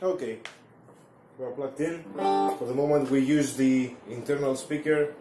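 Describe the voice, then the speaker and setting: A man giving an unscripted technical explanation as a hobbyist presenter, halting and hesitant, with many ums. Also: mid-range voice, fairly even intonation, music in the background.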